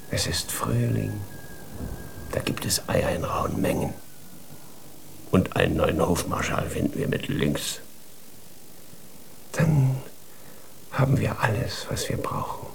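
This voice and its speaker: A man playing a food-minded king and papa in a children's radio play, with theatrical, drawn-out delivery. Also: fairly low pitch, expressive, gravelly, noisy background, full character voice. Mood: contented.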